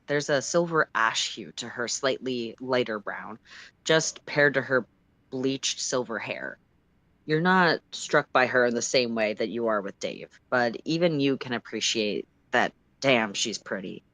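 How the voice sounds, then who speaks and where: Expressive storyteller with a very dry, close sound. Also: mid-range voice, no room sound. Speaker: storytime livestream reader. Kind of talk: expressive fan fiction reading in second person.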